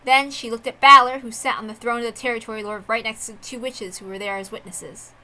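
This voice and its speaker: A woman's voice: fairly high pitch, steady tone, fast, sharp tone. A woman reading a visual novel aloud as a dramatic narrator.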